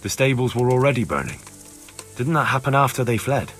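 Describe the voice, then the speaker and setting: An actor playing an earnest, persistent young investigator in a video game, questioning in a measured way. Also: male voice, mid-range voice, very animated, fairly bright tone, faint music behind. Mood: patient, probing. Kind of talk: video game dialogue acting.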